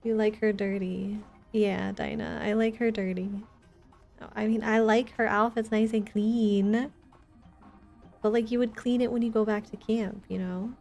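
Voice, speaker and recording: A woman's voice: medium pitch, fairly even intonation, a little slow, gravelly, darkish tone. A woman streaming a video game, chatting casually and addressing her viewers as she plays. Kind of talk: casual livestream gameplay chat. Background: video game music.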